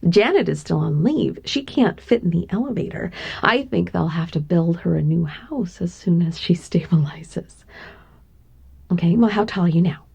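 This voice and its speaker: A woman acts out a towering yet gentle secretary in an intimate ASMR roleplay. Her voice is very breathy and mellow, sitting a little low. She speaks tenderly, with coy, doting teasing. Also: lively intonation, moderate pace, lo-fi sound, full character voice. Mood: tender, attentive. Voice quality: breathy, soft, often slipping into whisper. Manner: tender, coy, hesitant, giggly asides. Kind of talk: ASMR roleplay, intimate one-sided conversation.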